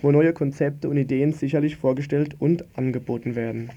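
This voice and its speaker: A man's voice: mid-range voice, fairly even intonation, brisk. A man making a radio programme announcement on a dull recording.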